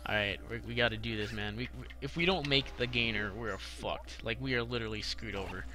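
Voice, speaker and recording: A young adult man commentating casually on a video game for a live chat, slangy, profane and excitable, often repeating himself. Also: mid-range voice, brisk. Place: live game stream with game music behind the voice.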